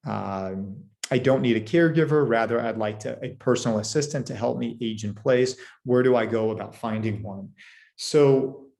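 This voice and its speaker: Guy with a gravelly voice pitching senior care services, earnest, lots of restarts. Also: moderate pace, a little mellow. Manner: earnest, advocating, frequent restarts. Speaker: senior care business owner presenting services. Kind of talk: recorded presentation.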